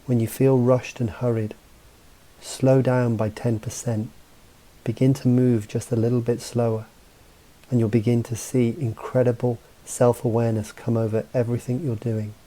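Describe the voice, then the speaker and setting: A man guiding a mindfulness meditation as a coach, speaking in a calm, measured, instructive way with pauses between phrases. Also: mid-range voice, rough recording. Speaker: meditation guide and mental coach. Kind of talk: guided mindfulness meditation narration.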